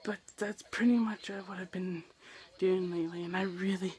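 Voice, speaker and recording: A man's voice: sits high, fairly even intonation, moderate pace, a little mellow, slight background noise. A young man vlogging casually from his bedroom on a handheld camera, rambling and self-deprecating, with a voice that is wearing out. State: losing his voice. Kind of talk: casual vlog talk to camera. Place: own bedroom.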